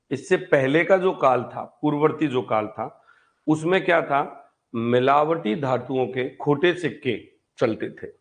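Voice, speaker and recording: Male history teacher lecturing. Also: a little high. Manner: conversational, repeats points and asks rhetorical questions. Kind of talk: live online exam-prep lecture.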